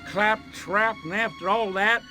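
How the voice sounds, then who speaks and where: A man's voice: sits high, measured pace, over music. An actor playing a whiny, disappointed small-town man, complaining in a cartoonish video game.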